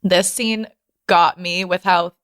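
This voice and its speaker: A woman's voice: fairly low pitch, steady tone, slow, fairly bright tone, smooth, dry recording, studio quality. A woman podcast host in casual discussion, emphatic and enthusiastic as she guides the talk.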